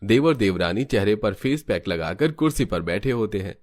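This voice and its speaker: A man's voice: fairly low pitch, lively intonation, moderate pace, darkish tone. A man reading scripted narration for a family cartoon story.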